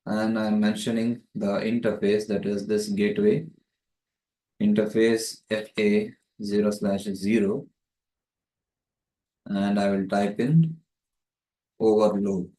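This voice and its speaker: A man's voice: lowish voice, warm tone. A man giving a methodical, step-by-step tutorial narration as a networking tutor, recorded with roomy echo on a distant microphone. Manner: methodical, explanatory.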